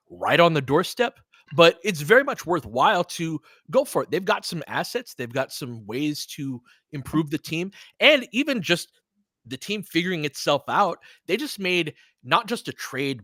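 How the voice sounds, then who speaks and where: Animated man talking basketball, dry close sound. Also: on the high side, dry recording. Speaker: sports show host. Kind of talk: casual live game commentary and discussion.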